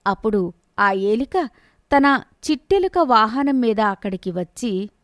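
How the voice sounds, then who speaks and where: A woman narrating a scripted bedtime fantasy story in a steady, expressive storytelling manner. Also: fairly high pitch, unhurried, no room sound, clean studio sound.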